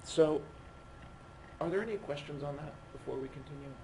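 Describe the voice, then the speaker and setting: A man giving a physics lecture while losing his voice, speaking haltingly and often breaking off mid-phrase. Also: on the high side, a little mellow. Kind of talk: university lecture at a board. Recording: noisy classroom recording.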